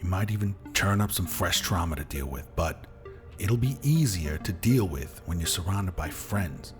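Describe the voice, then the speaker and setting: Man acting resolute rebel, deep-ish, earnest, plain-spoken, radio drama, music underneath. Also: animated, normal speed. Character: determined, streetwise rebel man rallying a friend.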